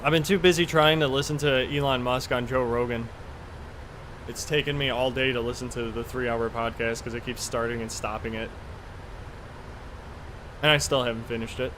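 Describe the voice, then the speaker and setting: A man chats casually as he streams a flight simulator, rambling along in an offhand way with the odd mild swear. His voice sits at an average pitch, with a faint steady hum of airy cabin-like noise behind him. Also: normal speed, pauses often. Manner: rambling, offhand, mildly profane. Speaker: flight sim live streamer. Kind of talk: casual chat while playing a flight simulator.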